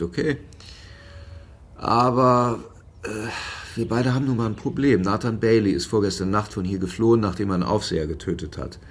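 Plain-spoken cop, low voice, raspy edge, blunt, informal, interrogating, radio play. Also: male voice. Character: blunt, world-weary male police lieutenant, father of daughters. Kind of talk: radio drama acting, interrogation.

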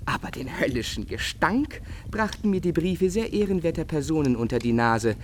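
A man's voice: a little high, lively intonation, normal speed, a little hiss or hum, close to an everyday voice. A man playing a passionate, brooding young painter in love in a literary radio drama, declamatory and agitated, with old-fashioned diction. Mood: sarcastic, wounded.

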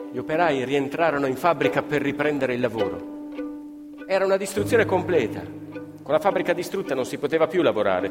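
Animated male storyteller in a reverberant hall. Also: medium pitch. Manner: declamatory, with rhetorical questions and repetitions. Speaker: actor-storyteller. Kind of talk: dramatic theatrical narration for radio theatre. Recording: reverberant hall, live music behind. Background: live violin and plucked strings.

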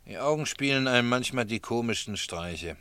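An actor playing a sly, ironic snack bar regular who teases and insinuates, in a German radio drama. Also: male voice, medium pitch, expressive, moderate pace, bright, forceful tone, slight background noise. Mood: dry irony.

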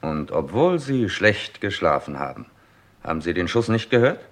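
Detective chief, deep bass register, brisk questioning, dry scepticism, radio crime drama. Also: male voice, sing-song. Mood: sceptical, probing. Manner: terse, businesslike, sceptical. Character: brisk, probing Scotland Yard police chief.

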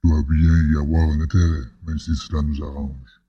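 A man's voice: little pitch movement, moderate pace, dry recording, highs cut off. A man playing a grave, courteous head of state in a science-fiction audio drama, speaking formally and with measure. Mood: solemn, formal. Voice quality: very deep, husky, rasping.

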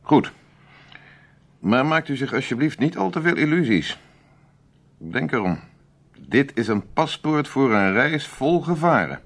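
An actor in a Dutch radio play playing a grave, authoritative intelligence major, measured and emphatic. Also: male voice, mid-range voice, big pitch swings. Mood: firm, warning.

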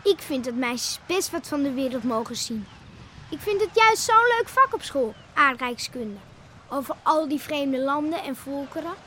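High lively schoolgirl, outspoken and teasing, old radio play with some hiss. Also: normal speed. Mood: curious, indignant. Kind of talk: Dutch radio play acting.

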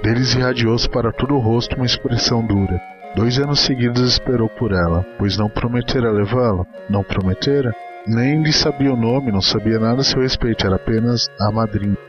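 A man's voice: medium pitch, highs cut off. Man narrating a story over music. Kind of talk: dramatic literary narration.

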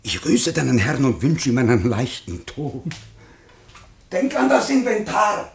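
An actor in a radio play, playing a greedy, calculating lawyer with a furtive, insistent delivery. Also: male voice, mid-range voice, wildly swooping pitch, unhurried, gravelly, slightly rough, full character voice. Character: greedy, calculating male lawyer, eager legacy hunter. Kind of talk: theatrical German radio play acting.

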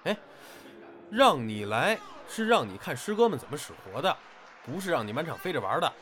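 Audio drama mentor male actor: stern, commanding, caring underneath, animated delivery, faint ambient noise. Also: fairly high pitch, moderate pace. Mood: mock stern. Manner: scolding, commanding.

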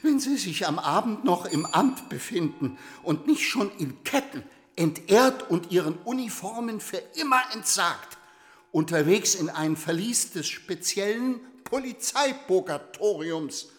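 An actor in a radio play playing an indignant lawyer, thundering threats in a pompous, declamatory way, with long reverb. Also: male voice, high-pitched, expressive, unhurried. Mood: thundering indignation.

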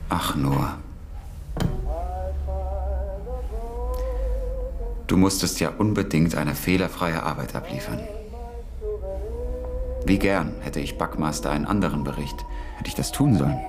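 Radio drama casting: exacting, quietly smitten codemaster, very low voice, hesitant and precise, wistful. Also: male voice, moderate pace, gravelly, over music. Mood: wistful, regretful. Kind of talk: German radio drama acting.

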